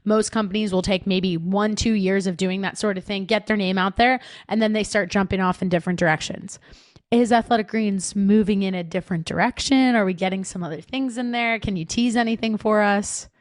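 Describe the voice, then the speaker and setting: A woman hosts a casual podcast, chatty and upbeat, sprinkling her questions with "like" and "right?". Her voice sits at a middle pitch, and the room sounds dry and close. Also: fairly quick, no echo. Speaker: podcast host.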